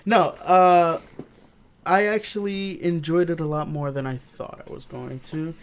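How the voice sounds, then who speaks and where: A man's voice: a little high, steady tone, measured pace, clean tone. A man co-hosting a casual film review, laid-back and agreeable in his talk. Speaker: co-host.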